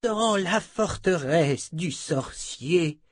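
A kindly old wizard, played by a man, speaks in a slow, faltering, breathy voice pitched high. He sounds worn out and short of breath, as in a fantasy audiobook. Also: sing-song, dry recording, heavily acted. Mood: exhausted. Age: older.

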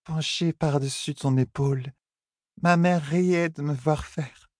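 A man's voice: steady tone, takes it slowly, darkish tone, no echo, clean studio sound. A man voicing a tipsy young royal heiress who slurs, sulks and flares up. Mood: drunk, petulant. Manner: high, slurred pleading and indignant outbursts. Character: drunk young royal heiress, petulant and tearful.

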